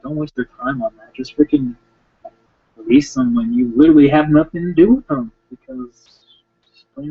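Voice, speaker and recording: A man ranting casually and sarcastically about wrestling, rambling and slipping into quick impressions. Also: mid-range voice, creaky, slightly rough. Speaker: wrestling commentator. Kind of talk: casual rant. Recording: tinny phone-like lo-fi sound.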